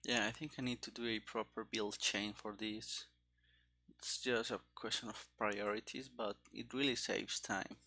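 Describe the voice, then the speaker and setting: A man doing live coding commentary as a game developer, halting and muttering to himself in short fragments. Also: lowish voice, steady tone, bright, forceful tone.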